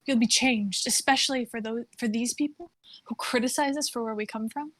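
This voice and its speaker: A woman's voice: on the high side, fairly quick, a bit bright. A woman playing an emotional, protective young female cousin in a dramatic script reading. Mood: pleading. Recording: noisy mic with clicks.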